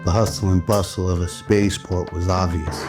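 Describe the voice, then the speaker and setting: A man's voice: sits low, flat delivery, normal speed. A man giving scripted dramatic narration in the style of an old radio drama. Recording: loud music under the voice. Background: background music.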